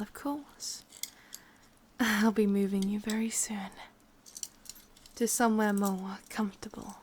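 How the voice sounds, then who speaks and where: A woman with an English accent playing a possessive, doting witch captor in a whispered, intimate ASMR roleplay, breathy and softly commanding. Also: mid-range voice, slight background noise.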